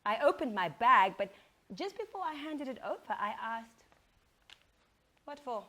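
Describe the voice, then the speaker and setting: A woman giving an expressive, dramatic first-person reading of a short story, acting out the dialogue. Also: on the high side, normal speed.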